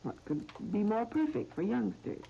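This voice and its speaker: An American woman presenting a scripted 1930s radio cooking demonstration in a measured, instructional, carefully phrased manner. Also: medium pitch, big pitch swings, creaky, warm tone, slightly rough. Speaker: cooking show host. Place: radio studio. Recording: dull, noisy 1930s radio disc recording.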